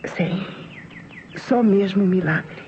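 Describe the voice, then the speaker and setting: An actress playing a principled young Brazilian nurse, earnest and dramatic, in an old radio drama. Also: female voice, medium pitch, slow. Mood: grave, pleading. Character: principled young nurse, alarmed and firmly protective. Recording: dull, noisy old radio recording.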